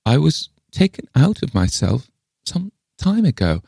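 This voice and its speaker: An eccentric old lady from a storybook, acted out by a man, with a piping voice pitched well up. She is wistful, peevish and possessive about her things, and sounds thin and plaintive, full of sighs. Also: sing-song, a little slow, vocal fry, warm tone, slightly rough, dry recording, clean studio sound, heavily acted. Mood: pathetic, grateful. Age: older. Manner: plaintive, sighing, wailing. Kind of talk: dramatised storybook reading.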